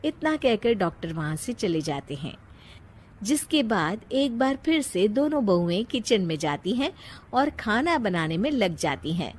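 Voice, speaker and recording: A woman narrating a scripted moral story in a measured storytelling delivery. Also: medium pitch, very animated, a little hiss or hum.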